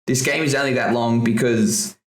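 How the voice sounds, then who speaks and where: A man riffs through a casual podcast chat, cursing freely and laughing between his rants. His voice sits at an ordinary middle pitch. The mic picks up a noticeably echoey room around him. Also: fairly even intonation, moderate pace. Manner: swears often, rants with laughter. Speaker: podcast co-host.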